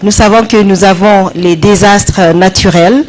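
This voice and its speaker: A woman's voice: mid-range voice, fairly even intonation, normal speed, darkish tone, low-quality recording. A woman with a mid-range voice interprets a speech live. She goes phrase by phrase, hesitating as she looks for the right words. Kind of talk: spoken consecutive interpreting of a speech. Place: live event beside the speaker.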